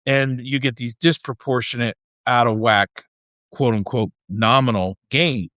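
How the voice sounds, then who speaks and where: A man's voice: medium pitch, fairly even intonation, measured pace, fairly bright tone, dry recording, studio quality. A man hosting a podcast, explaining his thinking conversationally in rambling, emphatic phrases. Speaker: podcast host.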